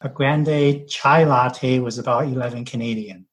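Male guest from Taiwan, calm explainer, middle-pitched voice. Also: measured pace. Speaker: personal finance blogger and podcast guest. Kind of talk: casual panel discussion.

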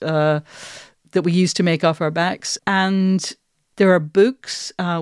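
A woman's voice: lowish voice, no room sound, studio quality. A woman hosting a podcast in a conversational style. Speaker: podcast host.

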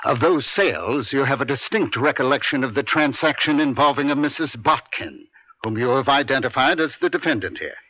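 Stern pushy defense lawyer, rough sharp voice, deliberate jabs, old radio courtroom drama. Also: male voice, mid-range voice, animated, dry recording. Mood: pointed, pressing. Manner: deliberate, pointed, domineering. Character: stern, pressing male defense attorney cross-examining a witness. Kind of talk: radio drama acting, courtroom cross-examination. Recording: dry, dull vintage radio broadcast.

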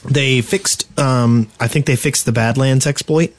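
A man's voice: medium pitch, steady tone, a little slow. A man co-hosting a podcast in a studio, talking in casual, joking banter. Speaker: podcast co-host.

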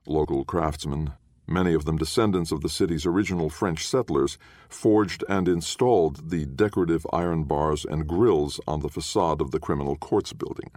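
A man reading literary prose as an audiobook narrator, in a measured, formal and deliberate manner. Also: a bass voice, a little mellow, dry recording, clean studio sound.

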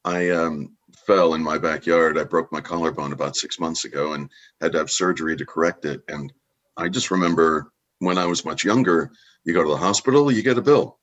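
A man's voice: very deep voice, fairly quick, a bit bright, highs cut off. A man interviewing in a conversational style, asking questions and sharing his own experience. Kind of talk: conversational interviewing with personal anecdotes.

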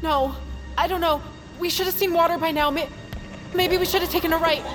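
Frightened young woman, very high voice, halting, breathless, sci-fi audio drama, music underneath. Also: fairly even intonation, low-quality recording. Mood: uncertain.

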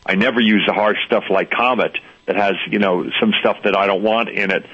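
A man's voice: on the low side, fairly even intonation, fairly quick, a bit bright, breathy edge. An older man speaking as a radio interview guest, earnest and persuasive, chuckling now and then. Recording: dull lo-fi radio sound.

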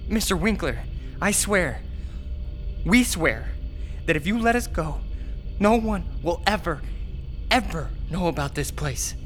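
A terrified young prisoner in a horror radio play, his voice very high and piercingly tense. He stammers, repeats himself and pleads desperately, over faint music. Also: animated, measured pace, pauses often, a bit bright. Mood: desperate pleading. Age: young adult. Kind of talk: radio horror drama acting.

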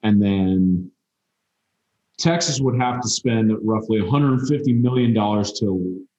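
A man joining a sports podcast as a panelist, laying out his points in a measured way. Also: lowish voice, warm tone, echoey room, dull audio. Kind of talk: casual sports chat.

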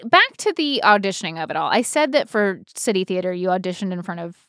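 A woman in her thirties co-hosting a casual chat, telling stories in an animated, irreverent way peppered with "like". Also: medium pitch, quick pace, no echo, studio quality. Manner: animated, irreverent, frequent "like" filler. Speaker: podcast co-host.

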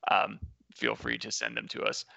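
Male podcast co-host, easygoing and joking; gravelly, dry, lo-fi recording. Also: rumbling low, expressive, normal speed, bright, forceful tone, dry recording. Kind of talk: casual chat. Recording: dry, muffled lo-fi audio.

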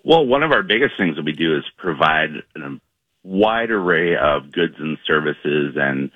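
A man with a very deep, low voice giving informal promotional answers as a radio guest. The audio is dull and narrow, like a call-in, with no room sound. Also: expressive, a little slow, no echo. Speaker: interview guest, airport commission representative.

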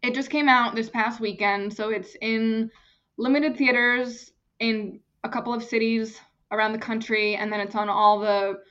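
A woman's voice: fairly high pitch, steady tone, normal speed, fairly bright tone, muffled recording. A young woman from Germany, an actress and stunt performer, chatting casually as an interview guest, often restarting her phrases.

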